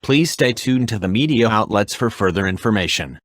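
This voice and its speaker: A man with a fairly low voice reads an emergency broadcast. The sound is very dry, and his scripted delivery is formal and impersonal. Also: expressive, moderate pace, no echo. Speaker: emergency alert announcer.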